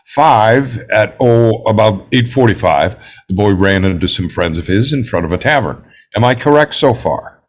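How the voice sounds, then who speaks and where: Composed, methodical juror for a drama read, played by a man: lowish voice, precise and self-assured. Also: lively intonation. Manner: measured, precise, enumerating. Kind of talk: script table read.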